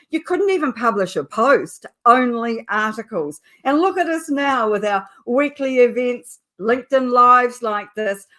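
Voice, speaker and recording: A middle-aged woman with a New Zealand accent, hosting a live online business show and opening it with a measured, emphatic scripted introduction. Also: medium pitch. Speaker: host.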